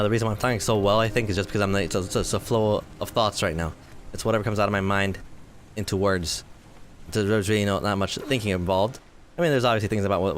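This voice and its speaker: A man in his twenties giving rambling, self-deprecating commentary while playing a game, his voice tired and a little hoarse. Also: on the low side, a little hiss or hum. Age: 20s. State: voice tiring, slightly hoarse. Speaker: gaming video host. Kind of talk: casual let's-play commentary.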